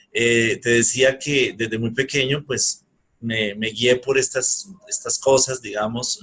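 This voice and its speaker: A man's voice: mid-range voice, a bit bright, slight background noise. A man with a Bogotá Colombian accent, speaking earnestly and courteously about himself as an interview guest. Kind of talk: personal talk in an interview.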